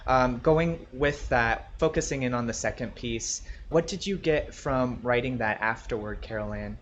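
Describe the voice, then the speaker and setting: Guy hosting a podcast interview, gentle and supportive, kinda muffled lo-fi sound w some light noise behind. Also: mid-range voice, moderate pace. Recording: dull lo-fi audio, background noise.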